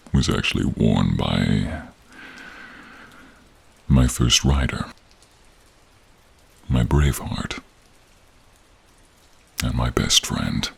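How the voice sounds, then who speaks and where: A man playing a gentle, protective male dragon in human form, speaking tenderly and haltingly in a deep, gravelly, husky voice for an audio role-play. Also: heavily acted. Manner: tender, halting, hushed, drawn-out phrasing. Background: rain and cave ambience.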